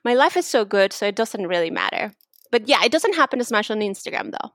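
A woman's voice: medium pitch, very animated, fast talker, fairly bright tone, dry recording, clean studio sound. A young woman from Mexico with a Mexican accent, chatting openly as a podcast guest, laughing often and restarting her sentences. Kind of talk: casual interview chat.

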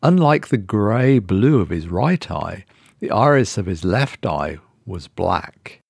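Male narrator for scripted horror tale: low pitch, measured and suspenseful, close dry sound. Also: lively intonation, creaky, a little mellow, slightly rough, no room sound. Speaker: narrator reading a horror short story.

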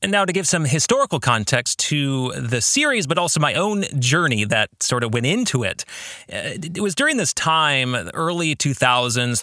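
A middle-aged American man hosting a podcast, speaking in a reflective, explanatory monologue with measured phrasing and occasional self-corrections. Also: mid-range voice, pushed and bright, dry recording, clean studio sound. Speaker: podcast host and author.

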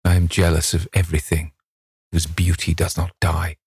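A man's voice: low voice, monotone, normal speed, a little mellow, breathy edge, no room sound, clean studio sound. A man voicing a vain, petulant young man in an impassioned, dramatic reading of a novel. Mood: jealous anguish. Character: petulant, anguished young man, vain about his beauty.

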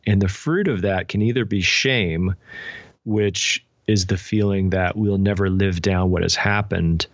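A man speaking as an interview guest in a thoughtful, measured, explanatory way. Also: lowish voice, no echo, lo-fi sound. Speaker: podcast guest, spiritual director and author. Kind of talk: reflective interview conversation.

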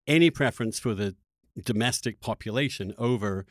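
A man hosting a conversational interview, thinking aloud in a halting, opinionated way. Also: medium pitch, no room sound, clean studio sound. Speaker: interviewer, podcast host.

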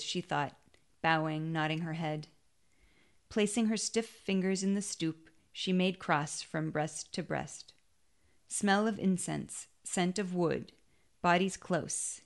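A woman reading a literary short story in measured, clipped phrases with pauses. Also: fairly low pitch.

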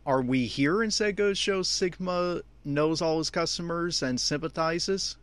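A man's voice: on the high side, unhurried, clear, resonant tone, dry recording. A man giving off-the-cuff, opinionated audio commentary on an anime episode, speaking emphatically.

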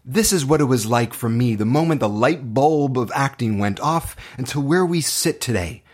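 A man's voice: medium pitch, expressive, normal speed. A man speaking as an acting teacher, telling personal stories to motivate students in an earnest, impassioned way with dramatic, emphatic phrasing.

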